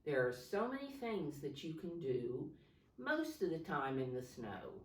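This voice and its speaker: Slow, low-voiced woman teaching, roomy sound. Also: very animated, a little hiss or hum. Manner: simple, instructive, addressing children with questions and prompts. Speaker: preschool teacher reading a picture book aloud. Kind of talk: storytime talk to young children. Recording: roomy echo, distant microphone.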